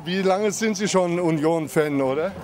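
Higher-pitched male street interviewer. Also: normal speed, heavy background noise. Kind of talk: casual street interview with fans. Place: outdoors among passing crowd outside a football stadium. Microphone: handheld microphone.

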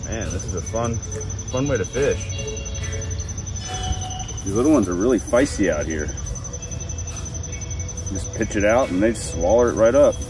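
A man vlogging while fishing by a city lake outdoors, talking in a relaxed way with fillers and restarts. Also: lowish voice, measured pace, long pauses, music in the background. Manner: laid-back, many ums and restarts. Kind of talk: casual on-camera fishing chat.

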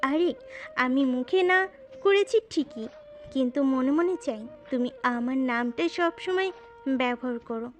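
A woman's voice: high-pitched, measured pace. A woman playing a hot-tempered, possessive but secretly loving young wife in a romantic audio story, with a scolding, coquettish manner. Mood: pouting, demanding. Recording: music under the voice.

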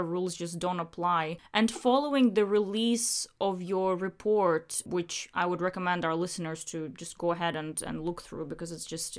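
A woman in her thirties hosting an interview, asking earnest, measured questions, recorded on a phone held near her mouth in a small indoor room. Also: lowish voice. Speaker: podcast host.

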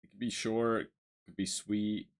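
A young man vlogging casually at a desk, with a deep voice and a rambling, hesitant way of talking full of fillers. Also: flat delivery, normal speed. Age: young adult. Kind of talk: casual commentary. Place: small room at a desk.

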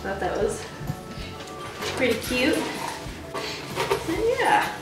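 A woman's voice: mid-range voice, distant mic. A young woman with a congested head cold, chatting casually while she unboxes a gift box and talks to her dogs. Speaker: vlogger unboxing dog gifts. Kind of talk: casual chat. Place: small bedroom, seated on a couch. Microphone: camera mic at a distance. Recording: roomy, off-mic, with background music.

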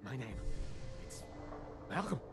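A man playing an unhinged, menacing backwoods cannibal trapper in a video game, muttering gravelly, halting, clipped phrases. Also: over loud music, lightly acted. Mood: eerie, possessive. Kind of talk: video game character acting.

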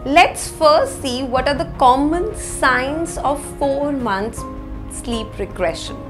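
A woman in her thirties with an Indian accent presents an explainer lesson to camera, phrasing things deliberately and with emphasis. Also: high-pitched, animated. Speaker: parenting educator, presenter. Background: background music.

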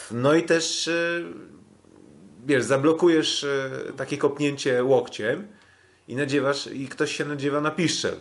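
A man hosting a podcast, giving a casual sports recap in a halting way with frequent filled pauses. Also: lowish voice. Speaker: podcast host.

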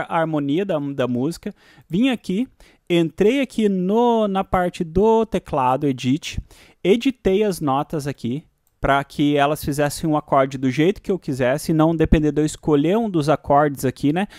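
A man with a Brazilian Portuguese accent casually walking through music software as a tutorial host, often checking in with the listener. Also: on the high side, moderate pace, dry recording, studio quality.

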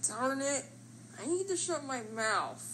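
Woman voicing a dramatic, bright cartoon shampoo bottle. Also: sits high, lively intonation, unhurried, slight background noise. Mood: scolding, soothing. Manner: dramatic, exclamatory. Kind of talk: animated character voice lines.

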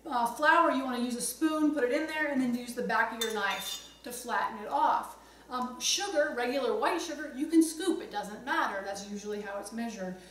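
A middle-aged woman with a high voice explains cooking basics in a casual, chatty way. She is in a home kitchen, recorded from a distance. The room rings with noticeable echo, and there is faint noise behind her. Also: moderate pace, a bit bright. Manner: conversational, explanatory, chatty asides. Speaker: cooking instructor, host. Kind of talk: casual instructional demonstration to camera. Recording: roomy echo, distant mic, some background noise.

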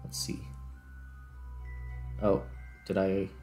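A man's voice: very slow, dark tone. A man hosting a gaming video, thinking aloud in casual commentary as he plays. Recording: music behind the voice. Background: background music.

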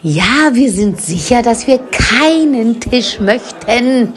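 A woman plays a bossy old witch in a comic audio drama. She scolds and snaps impatiently, with a very lively pitch that rises as she nags. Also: takes it slowly. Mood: snappish.

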